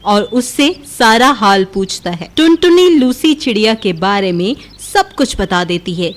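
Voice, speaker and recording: A woman's voice: medium pitch, very animated, a little slow. A woman narrator reading a scripted children's moral story.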